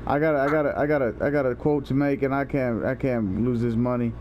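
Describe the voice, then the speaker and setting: A man's voice: mid-range voice, fairly even intonation, quick pace, a little mellow, slight background noise. A young man making a prank phone call in casual, slangy talk, rambling and stammering, with some swearing. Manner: rambling, foul-mouthed, stammering. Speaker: prank caller and video host.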